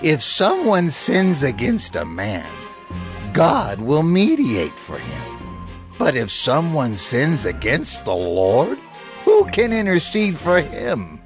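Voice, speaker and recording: An actor playing an old, weary priest and father in a dramatized scripture story, speaking slowly and solemnly. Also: a little high, wildly swooping pitch, heavily acted. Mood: grieved reproach. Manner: slow, drawn-out, solemn. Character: old weary priest, grieving father rebuking his sons. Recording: music behind the voice.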